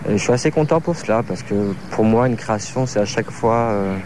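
A man in his thirties, a choreographer from France, answering interview questions in a halting way, searching for words with many fillers. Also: fairly low pitch, noisy background. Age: 30s.

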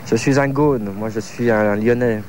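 A man in his thirties, a choreographer from France, answering interview questions in a halting way, searching for words with many fillers. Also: mid-range voice, fairly even intonation, background noise. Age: 30s.

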